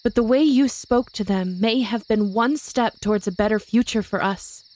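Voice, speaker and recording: A woman playing a wise, dignified witch who speaks in formal, measured phrases in an audio drama. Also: on the high side, darkish tone, natural voice. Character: wise, dignified elder-sisterly witch lady.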